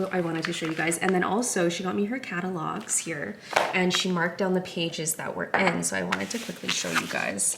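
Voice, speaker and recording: A woman in her thirties, slightly raspy and half awake, chatting in a casual home vlog, upbeat and full of "like" fillers. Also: fairly low pitch, noisy background. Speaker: lifestyle vlogger.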